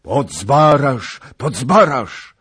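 A man voicing a fervent, commanding king in a dramatic story reading, with forceful delivery. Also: a bass voice, animated, slow, slightly rough, exaggerated voice. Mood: furious, resolute. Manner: forceful exclamations and urgent questions. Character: impassioned king, fervent, commanding, quick to roar.

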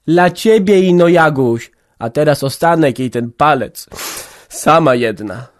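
A man's voice: on the high side, animated, measured pace, no echo, studio quality, voice pushed up. A man voicing a stern, anxious old peasant mother who scolds and lectures in folksy speech. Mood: scolding.

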